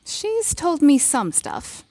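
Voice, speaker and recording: A woman's voice: high voice, sing-song, slow, a little mellow, a little hiss or hum, full character voice. An actress playing a wry, reassuring woman advisor in an audio drama, talking in a blunt, casual way. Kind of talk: fiction podcast drama acting.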